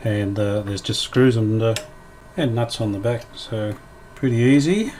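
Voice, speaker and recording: Male restorer, lowish voice, casual how-to commentary, tentative thinking-aloud delivery. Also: measured pace, warm tone, a little hiss or hum. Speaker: radio restorer presenting a repair series.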